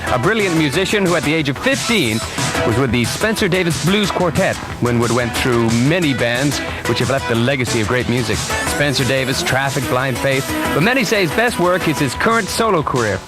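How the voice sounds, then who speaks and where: A man's voice: mid-range voice, expressive, fairly quick. A man doing classic hits radio DJ patter. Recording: radio aircheck with music behind. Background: music.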